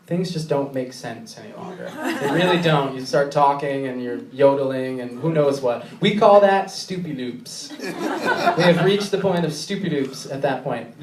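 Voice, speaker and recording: A man's voice: medium pitch, a little mellow, slight background noise. Playful man on an echoey stage. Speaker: musical theater cabaret performer. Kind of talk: comic stage banter with the audience. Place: indoor cabaret stage before an audience. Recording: echoey live theater, far from mic.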